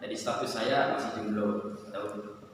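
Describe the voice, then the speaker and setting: A man's voice: on the low side, fairly even intonation, a little slow. A man teaching a micro-teaching class, friendly and joking, asking students questions. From Flores, Manggarai. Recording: echoey room, voice from a distance, faint noise.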